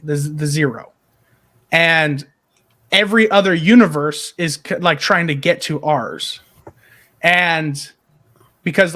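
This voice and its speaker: A man co-hosting a podcast, excitedly pitching story ideas in casual, emphatic talk peppered with swearing. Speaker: podcast co-host.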